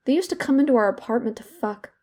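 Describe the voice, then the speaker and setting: Soft-toned, wounded young woman confiding , as acted. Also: fairly high pitch, lightly acted. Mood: bitter, resentful. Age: young adult. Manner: hesitant, halting, with repeated words and pauses. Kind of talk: intimate confessional monologue in an audio play.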